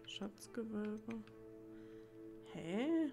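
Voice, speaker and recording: A woman's voice: a little high, lively intonation, drawn-out words, music in the background. A woman giving casual gameplay commentary, musing as if thinking aloud to herself. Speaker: let's play gamer.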